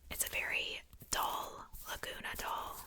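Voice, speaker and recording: A young adult woman speaking in a breathy, unvoiced whisper, doing a casual ASMR show-and-tell in a soft, rambling, unhurried way. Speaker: ASMR video creator.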